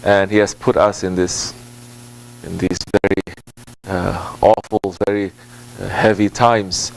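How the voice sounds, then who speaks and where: A man speaking as a Sufi religious teacher in an informal sermon, with deliberate, halting phrasing, repetition for emphasis and Arabic and Turkish devotional phrases mixed into his English. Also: sits low, little pitch movement, gravelly, poor audio. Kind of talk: informal sermon answering a question.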